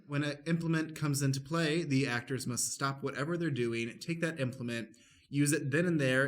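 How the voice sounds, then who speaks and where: A man hosting a show as stage manager, introducing and explaining it to listeners in an announcer-like way. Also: mid-range voice. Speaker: podcast host and stage manager. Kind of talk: scripted-sounding show introduction, addressing listeners.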